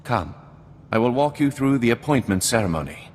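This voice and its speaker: A man playing a formal, polished, calculating baron in a video game cutscene, speaking in a measured way. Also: medium pitch, very animated, darkish tone. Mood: formal, businesslike. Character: courteous, composed male nobleman baron, formal and calculating. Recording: long reverb, music behind.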